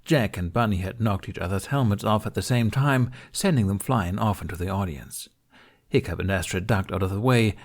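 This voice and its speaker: A man's voice: fairly low pitch, a little mellow. A man reading scripted fantasy fiction aloud as a narrator. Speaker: fan fiction reader.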